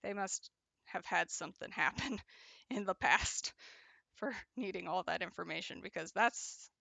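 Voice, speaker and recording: Young woman craft streamer with a bright, gravelly voice, chatty and laughing often, in a dry, close sound. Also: medium pitch, a little slow, no room sound. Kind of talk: casual chat while crocheting and reading chat.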